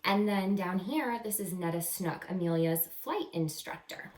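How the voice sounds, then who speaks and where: A woman reading a picture book aloud to children as a teacher, expressive and encouraging, pausing to explain words simply. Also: medium pitch. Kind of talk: animated children's read-aloud with simple asides. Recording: roomy echo, distant microphone.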